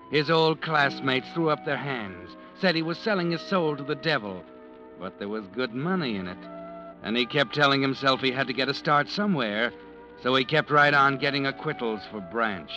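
An actor playing an ominous, knowing mystery narrator in 1940s radio style, insinuating and dramatic. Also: male voice, mid-range voice, natural voice. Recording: muffled old radio recording. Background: music.

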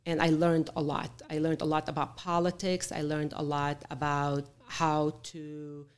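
A woman's voice: low-pitched, level tone, measured pace, clear, resonant tone. A middle-aged woman born in Chicago and raised in Beirut, telling her career story as an interview guest with many fillers and restarts.

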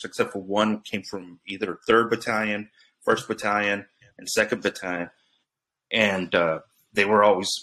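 A man's voice: lowish voice, a bit bright. A middle-aged man, a guest on a talk show, telling stories in a rambling way full of restarts and fillers. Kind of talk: casual storytelling.

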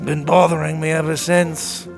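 A man voicing an eccentric, ancient male wizard in a storybook reading, fussy and bossy, with a creaky, drawn-out delivery. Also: a little high, little pitch movement, music in the background, voice pushed up. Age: older. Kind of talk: storybook character voice.

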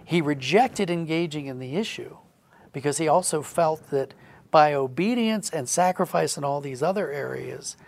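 A man's voice: on the high side, expressive, moderate pace, gravelly. A middle-aged man talking reflectively as a guest in a conversational interview, acting out little quotes as he explains. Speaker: guest, audio drama writer.

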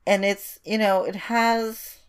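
A woman's voice: medium pitch, steady tone, takes it slowly, clear, resonant tone. A woman from Indonesia co-hosting a podcast, chatting informally and repeating words for emphasis. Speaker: podcast co-host. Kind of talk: casual conversational chat.

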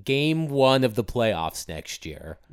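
A man co-hosting a sports podcast, dry and deadpan, his voice a bit raspy from a recent sore throat. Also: medium pitch, takes it slowly. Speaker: podcast co-host, hockey reporter. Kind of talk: casual sports podcast chat.

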